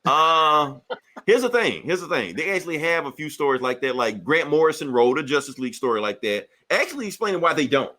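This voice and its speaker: A man co-hosting a podcast, chatting casually and taking comments in slangy, profane, animated talk. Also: on the high side, brisk.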